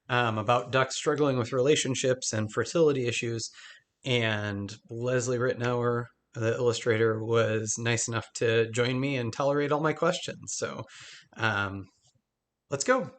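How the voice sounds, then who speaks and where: Male podcast host, upbeat and chatty, casual interview tone, ordinary middle pitch. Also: fairly bright tone. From Michigan. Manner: chatty, upbeat, frequent ums and laughs.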